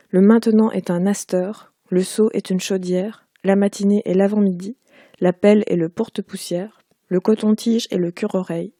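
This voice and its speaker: Warm-voiced woman reading her prose. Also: mid-range voice, no echo, studio quality. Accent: Parisian French. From Quebec. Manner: measured, unhurried literary reading. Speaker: author. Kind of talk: reading aloud from her own book.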